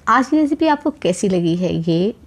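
A woman's voice: mid-range voice, sing-song, normal speed, slightly rough. A woman hosting a cooking channel, talking viewers through a recipe in a chatty voice-over, often adding blessings as she works. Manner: warm, chatty, repeats religious blessings while cooking; addresses viewers directly, encouraging. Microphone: voice-over, speaker not seen.

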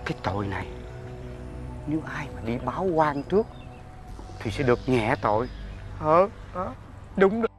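A man's voice: mid-range voice, sing-song, normal speed, long pauses, natural voice. An actor with a Southern Vietnamese accent playing a cowardly, scheming drinking buddy in a folk-tale comedy drama, exclaiming, bickering and repeating his words. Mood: agitated. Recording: music behind the dialogue.

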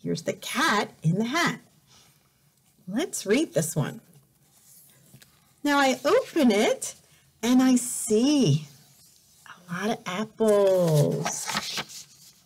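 A woman teacher reading a picture book aloud to children in a deliberate, exaggerated way, repeating words for learners, recorded indoors on a device camera. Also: fairly high pitch, sing-song, husky. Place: indoors in a room by a bright window.

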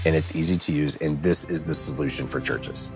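Man, thirties, low register, conversational and emphatic interview speaker, music bed underneath. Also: fairly even intonation, fairly quick, creaky. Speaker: church audio team member giving testimonial. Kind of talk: interview testimonial. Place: small indoor audio control studio, seated.

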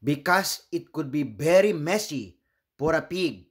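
A man reads a children's story aloud as its host. His voice sits a little high and moves with very animated intonation. He takes his time, delivering each phrase slowly and expressively. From Philippines.

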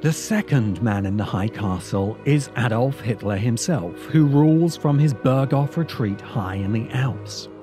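A man's voice: mid-range voice, expressive, measured pace, hardly any pauses, over music. A man reading scripted narration as the narrator of a video essay.

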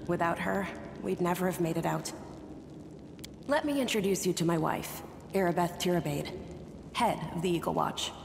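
A woman's voice: lowish voice, normal speed, creaky, pauses often. A woman playing a plain-spoken, reassuring scout in a fantasy game, talking informally. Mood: relieved, warm. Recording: echoey hall with noisy ambience.

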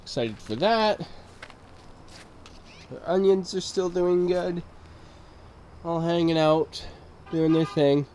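Brief: young man, a touch high, stuffy nose, casual walk-through vlog, faint outdoor hum behind. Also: slow pace, pauses often. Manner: relaxed, rambling, easily amazed. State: stuffed up from a cold. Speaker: gardening channel host. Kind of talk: casual garden tour vlog. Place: backyard garden outdoors at dusk. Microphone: handheld camera mic, filming himself walking.

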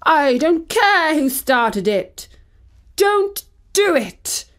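A woman's voice: sits high, big pitch swings, drawn-out words, voice pushed up, heavily acted. A woman voicing a stern, grumpy male priest in a children's story, firm and admonishing. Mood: grumpy scolding.

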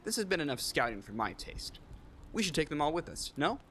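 Greedy villain slaver, male actor, fantasy audio drama, higher register, lively swings. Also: fairly quick, faint music behind. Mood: callous.

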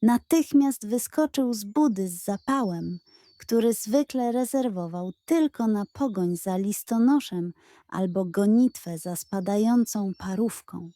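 A woman narrating a children's bedtime story in an expressive, playful way. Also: mid-range voice, unhurried, dry recording, studio quality.

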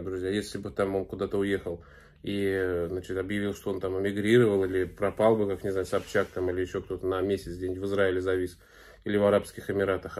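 A man's voice: sits low, darkish tone. Earnest man blogging to camera. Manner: earnest, many filler sounds and restarts. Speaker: video blogger. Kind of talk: casual commentary to camera. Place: small room indoors.

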